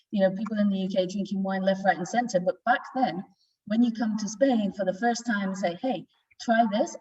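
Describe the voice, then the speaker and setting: A woman's voice: medium pitch, darkish tone. A woman in her forties with a Northern English accent, telling her story informally as a guest presenter on a video call. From north of England. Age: 40s. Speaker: guest presenter, wine exporter. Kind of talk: informal virtual tasting presentation. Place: office tasting room, indoors. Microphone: video call, away from the mic. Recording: distant, dull lo-fi video-call sound.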